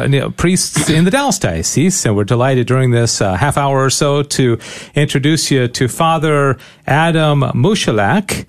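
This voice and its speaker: Lively chatty male radio host. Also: medium pitch. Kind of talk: radio interview.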